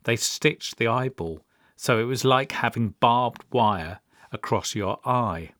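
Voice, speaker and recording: A man's voice: mid-range voice, slow pace, a bit bright, dry recording. A middle-aged British man telling personal stories as a podcast host, with a dry, wry, understated manner. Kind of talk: personal storytelling monologue.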